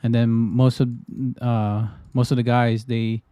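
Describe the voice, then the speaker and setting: Man from the Philippines, mellow tone, dry close sound, loose rambling livestream chat. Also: medium pitch, flat delivery, measured pace, clean tone, no echo. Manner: loose, repetitive, lots of restarts and fillers. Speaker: trading livestreamer.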